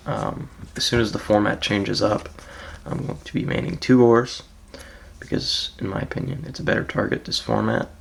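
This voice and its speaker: A man with a raspy, just-woke-up voice, casually walking through his card deck with frequent ums and soft trailing phrases. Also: fairly low pitch, steady tone, normal speed, slight background noise. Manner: laid-back, hesitant, frequent ums, trails off softly. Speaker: card game player presenting his deck.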